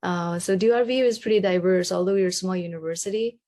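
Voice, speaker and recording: A woman's voice: lowish voice, fast, warm tone. A young woman from Nepal, a graduate student talking casually and hesitantly in an online session, with many ums.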